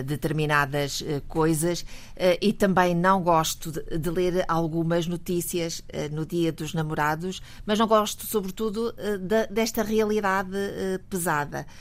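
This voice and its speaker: A woman professor with a European Portuguese accent, a guest on a radio discussion, speaking in a measured, hesitant way with many pauses and fillers. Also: low-pitched. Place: radio studio.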